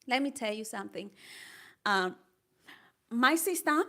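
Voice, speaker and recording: A woman's voice: fairly high pitch, expressive, a little slow, creaky, pushed and bright. An older woman with a Kenyan accent, chatting casually and encouragingly with viewers on a live stream, laughing often. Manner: upbeat and encouraging, reads out chat comments, laughs often. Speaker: livestreamer.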